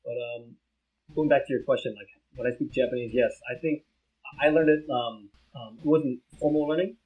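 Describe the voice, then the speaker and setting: An American-accented man whose first language is Vietnamese chats in a laid-back way. He hedges often with "like" and "um", at an ordinary middle pitch. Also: normal speed, a little mellow, poor audio. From United States. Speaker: podcast co-host. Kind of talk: casual chat.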